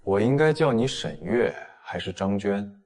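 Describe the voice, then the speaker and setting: A man playing a stern, restrained police detective in an audio drama, questioning gravely and with control. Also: on the low side, expressive, a little slow, a little mellow. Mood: stern, probing. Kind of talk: dramatized audio fiction acting.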